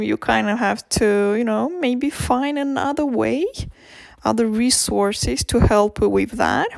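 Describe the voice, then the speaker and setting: Slow, gravelly-voiced woman from Romania. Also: on the high side, darkish tone, dry recording. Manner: slow and hesitant, with many ums and restarts. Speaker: podcast host and language teacher. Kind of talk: slow, informal podcast talk.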